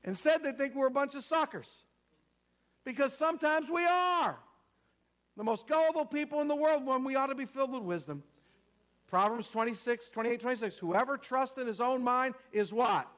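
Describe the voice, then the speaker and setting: A man with a notably high voice delivers a sermon with strong emphasis. He repeats his key phrases and puts questions to his listeners. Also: lively intonation, normal speed, a bit bright. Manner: emphatic, repetitive, asks the congregation questions. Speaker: preacher.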